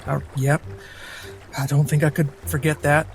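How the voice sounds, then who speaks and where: Man as a hesitant, higher-voiced adventurer. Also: flat delivery, normal speed, faint music behind, lightly acted. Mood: confused. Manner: hesitant, terse, casual. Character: laid-back, confused adventurer just back from unconsciousness. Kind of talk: improvised in-character tabletop roleplay.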